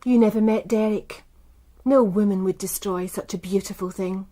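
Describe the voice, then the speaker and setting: A woman's voice: medium pitch, fairly even intonation, moderate pace, warm tone, lightly acted. An actress playing a cool, efficient businesswoman in a radio crime drama, guarded and formal in manner. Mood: certain. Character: cool, efficient, composed businesswoman under questioning.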